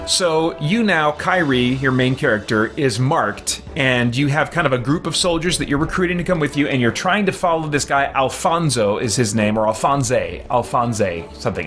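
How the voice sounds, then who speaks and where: A man's voice: mid-range voice, a bit bright. A man giving casual let's-play commentary as a gaming host, rambling and thinking aloud as he goes. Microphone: microphone with a fan nearby.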